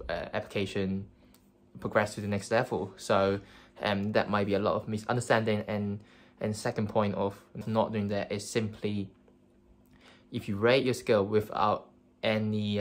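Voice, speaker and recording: Low flat-voiced young man. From Hong Kong. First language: Cantonese. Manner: earnest, many fillers and restarts. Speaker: career advice vlogger. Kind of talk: casual advice talk to camera.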